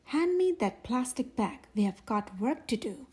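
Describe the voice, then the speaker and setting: Preschool girl sleuth, played by a woman: high, earnest, officious, storybook read-aloud. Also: big pitch swings, a little slow, dark tone, breathy edge, full character voice. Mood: bossy. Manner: official, grown-up detective phrasing.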